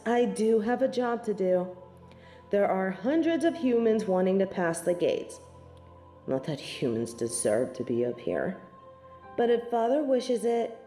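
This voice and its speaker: A woman's voice: medium pitch, dark tone, reverberant. A woman playing a snobby, scornful angel in a scripted audio roleplay, speaking in formal, archaic phrases with lofty disdain. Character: snobby, haughty female angel of judgement, proud, scornful tsundere.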